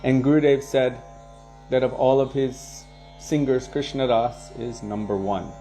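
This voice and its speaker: A man leading a devotional program, casually answering viewer questions and sharing anecdotes in a relaxed way, chuckling now and then. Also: medium pitch, unhurried, creaky, soft background music. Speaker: kirtan leader and devotional teacher.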